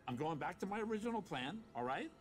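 An actor with an American accent playing a sarcastic, fast-talking god of the underworld in a video game, slick and theatrical. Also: male voice, high voice, big pitch swings, faint music behind. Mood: exasperated. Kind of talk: animated video game acting.

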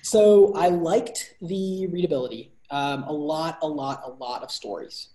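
A man's voice: a little high, a little slow. A young man co-hosting a book review over a video call, talking casually with many fillers and restarts. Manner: animated hand gestures. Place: bright room at home. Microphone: video call laptop. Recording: roomy echo.

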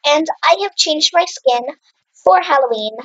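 A woman's voice: high voice, expressive, a little slow, a bit bright, low-quality recording. A young woman commentating her own Minecraft game with a slight cough, chatty and exclaiming at what happens on screen. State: slight cough, clears throat. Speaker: gaming channel host. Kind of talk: casual Minecraft let's play commentary.

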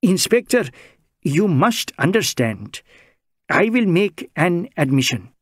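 A man's voice: sits high, big pitch swings, takes it slowly, dry recording, clean studio sound, pitched above the performer's own voice, full character voice. A man voicing an anxious, wordy middle-aged male social worker, pleading and flustered.

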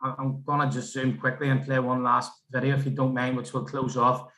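A man's voice: medium pitch, little pitch movement, brisk, pushed and bright. A man presenting as a programme coordinator on a roomy, echoing video call. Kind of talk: online presentation.

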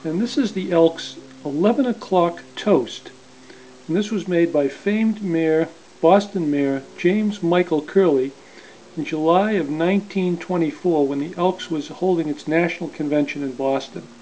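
A man's voice: a little high, measured pace, darkish tone. A man casually introducing an old record as a collector, recorded at home. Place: living room at home. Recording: dull home video, faint noise.

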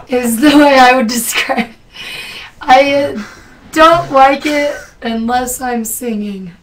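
A young woman in her twenties with a raspy voice, joking and giggling in a casual live stream chat from a home studio. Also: sits high, wildly swooping pitch, takes it slowly, long pauses, distant mic, slight background noise, poor audio. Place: home music studio, indoors. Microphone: webcam mic.

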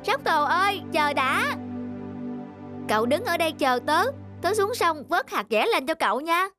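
Woman voicing a kind, earnest cartoon bear, high voice. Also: sing-song, measured pace, over music, exaggerated voice. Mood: concerned, helpful. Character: big kind-hearted bear, helpful and earnest. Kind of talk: children's cartoon voice acting.

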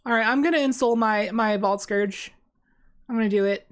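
A woman's voice: fairly high pitch, normal speed, clear, resonant tone. A young woman talking casually while playing a card game on stream, rambling with many fillers and restarts, in a small dim room. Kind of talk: casual gameplay commentary.

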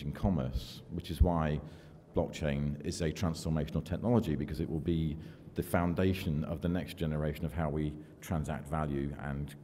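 Deep-voiced older man giving a keynote. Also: warm tone. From United Kingdom. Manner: measured, self-deprecating presenter with fillers. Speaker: keynote speaker. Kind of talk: conference keynote talk. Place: large conference hall with audience. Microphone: podium microphone. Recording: long hall reverb, faint room noise.

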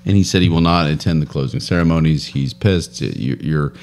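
Podcast co-host, thirties, deep male voice, rambling storyteller, close studio mic. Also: fairly quick. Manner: rambling storyteller, laughs often, animated. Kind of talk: casual chat. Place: home studio room, indoors. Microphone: boom studio mic with headphones.

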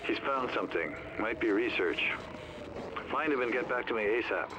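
An actor playing a stern, authoritative army colonel giving orders over a military radio in a video game. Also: male voice, medium pitch, lively intonation, normal speed. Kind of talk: video game cutscene acting. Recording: dull radio transmission with noise.

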